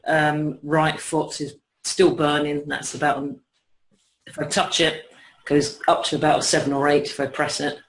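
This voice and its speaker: A man's voice: fairly high pitch, flat delivery, normal speed, a bit bright, a little hiss or hum. A young man describing his pain and his experiences, talking hesitantly with many fillers and restarts. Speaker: client. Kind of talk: conversational interview. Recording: echoey room.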